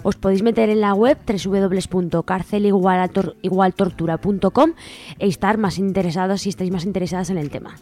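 A woman's voice: medium pitch, fairly even intonation, fairly quick, darkish tone. A woman with an accent from Spain, hosting a radio show and informally reading out event announcements. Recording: music playing behind the voice. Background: music.

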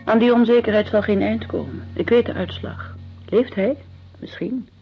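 A woman's voice: mid-range voice, moderate pace, gravelly, close to an everyday voice. An actress playing a troubled, guarded young woman in a radio drama, earnest in her delivery. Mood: anxious, despairing. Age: young adult. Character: anxious young woman searching for her exiled brother. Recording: dull radio recording with music.

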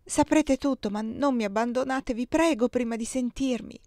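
A woman's voice: fairly high pitch, normal speed, darkish tone, no echo, pitched above the performer's own voice, natural voice. Woman reading tender secretive heroine, tremulous and imploring, dry voice. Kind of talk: audiobook dialogue reading.

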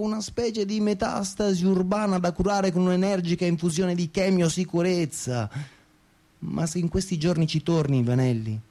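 A man's voice: high-pitched, dark tone. An actor playing a smug, xenophobic, well-off city man in a satirical radio monologue, sarcastic and declamatory, reeling off lists. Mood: boastful, contemptuous.

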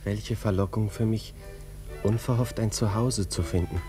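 An actor playing a sensitive young lieutenant in a German radio drama, courteous and reflective, with literary phrasing. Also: male voice, fairly low pitch, steady tone, normal speed, music in the background, lightly acted. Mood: reflective, tender. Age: young adult. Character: sensitive young cavalry lieutenant recalling his past. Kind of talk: German radio drama, first-person narration.

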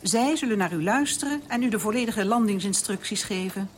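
A woman's voice: medium pitch, expressive, a little fast, a little hiss or hum, lightly acted. A woman playing a businesslike space control officer in a radio drama, formal and instructive.

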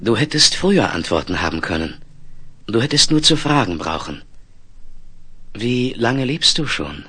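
An actor playing a curious, steadfast male space explorer in a 1970s radio play, earnest, deliberate and emphatic. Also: mid-range voice, big pitch swings, sharp tone, husky, exaggerated voice. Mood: wary, questioning.

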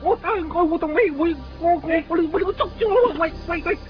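A man with a Hong Kong Cantonese accent voicing a defiant, indignant stray dog in a radio drama, with a husky voice. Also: extremely high pitch, a little fast, soft background music. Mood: panicked. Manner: indignant, stammering when threatened. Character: defiant stray male dog talking back to his keeper. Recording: dull, lo-fi 1990s radio recording.

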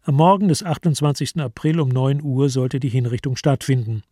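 A man narrating a literary radio play from a script in a measured, solemn manner. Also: mid-range voice, mellow, no room sound, studio quality.

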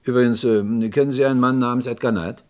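An actor playing a polite, probing police inspector with a hesitant, courteous way of questioning in a German radio crime play. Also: male voice, mid-range voice, steady tone, quick pace, lightly acted.

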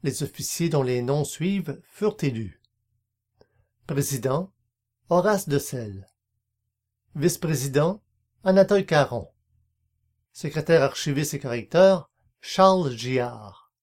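Formal, measured podcast narrator of medium pitch. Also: expressive, a little mellow. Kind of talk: scripted narration, reading archival newspaper notices.

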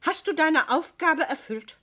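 A woman's voice: high voice, lively intonation, unhurried, bright, forceful tone, full character voice. An actress playing an imperious, anxious woman in a German radio play, with a haughty, insistent manner. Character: imperious younger woman, commanding, turning to anxious pleading.

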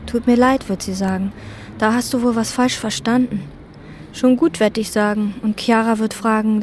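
A brooding, obsessive chambermaid. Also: female voice, a little high, a little mellow. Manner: slow, measured, introspective. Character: obsessive young hotel chambermaid, quiet loner. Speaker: narrator and lead character. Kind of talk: radio drama inner monologue.

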